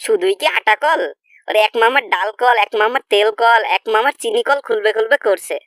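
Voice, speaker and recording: A woman's voice: a little high, fairly quick, fairly bright tone, no echo, studio quality, natural voice. A woman voicing a cocky, greedy young male friend who boastfully rattles off exaggerations in a comedy cartoon.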